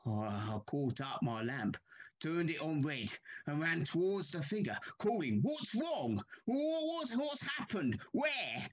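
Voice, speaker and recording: A man playing a troubled railway signalman in an audio drama, haunted and deferential, speaking haltingly. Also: a little high, sing-song, muffled recording, heavily acted. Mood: agitated. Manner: slow, halting, deferential, uneasy.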